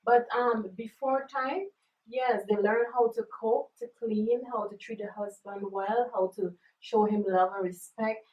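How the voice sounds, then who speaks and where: A woman's voice: fairly high pitch, fairly even intonation, measured pace, highs cut off. A woman from Guyana, a guest explaining things earnestly in casual chat. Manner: earnest, explanatory, many fillers. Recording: roomy echo, distant mic.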